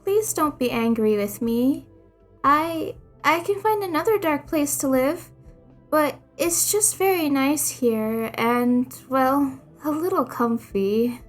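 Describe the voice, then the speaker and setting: Lady doing a nervous little monster kid for a story, high soft-hearted boy, stammers, sorry about everything. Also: expressive, slow, soft background music. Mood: nervous, apologetic. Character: timid young shadow monster boy, apologetic, gentle.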